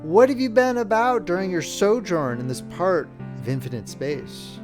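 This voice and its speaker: A man's voice: high voice, lively intonation, normal speed. Storybook New Year read by a man: young sister, hopeful yet touchy, raised register, guitar music underneath. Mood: affectionate, curious. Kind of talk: storybook character reading.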